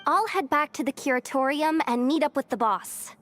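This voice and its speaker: A woman's voice: high voice, normal speed, fairly bright tone, soft background music. An actress playing an earnest young female adventurer in a video game.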